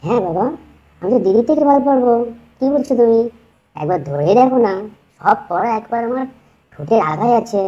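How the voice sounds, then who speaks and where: A woman's voice: a little high, expressive, fairly quick, pauses often, mellow, lo-fi sound. A woman voicing a cheeky, boastful young village boy in a children's puppet story. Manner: boastful, playful.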